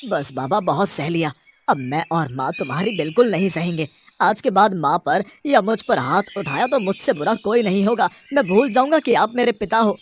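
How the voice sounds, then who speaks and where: A woman playing an earnest, protective young student son in an animated Hindi moral story. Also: mid-range voice, normal speed, slight background noise, close to an everyday voice. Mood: indignant. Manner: earnest, emphatic.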